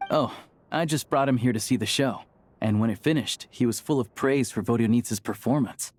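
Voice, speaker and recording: An actor playing an easygoing, discreet young man in a secret group, speaking in a measured, reassuring way in a video game. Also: mid-range voice, darkish tone, dry recording, lightly acted. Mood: casual, hopeful.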